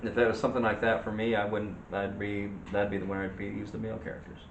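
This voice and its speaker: A man's voice: on the low side, flat delivery, quick pace, gravelly, highs cut off. A man co-hosting a movie discussion, chatting casually and often searching for his words. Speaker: co-host of a movie discussion show. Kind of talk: casual chat. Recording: roomy echo, faint background noise.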